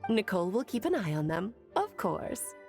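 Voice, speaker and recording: A woman voicing an ageless, cheerful and wise witch who speaks in a lilting, gently teasing way. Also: medium pitch, big pitch swings, normal speed, vocal fry, soft background music. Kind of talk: video game voice acting.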